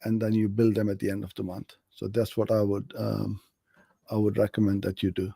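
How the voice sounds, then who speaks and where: Very dark-voiced man answering viewer questions. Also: lowish voice, flat delivery, a little fast, gravelly, slightly rough, no echo, clean studio sound. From Pakistan. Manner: conversational, practical, friendly and direct. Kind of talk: live Q&A on online advertising.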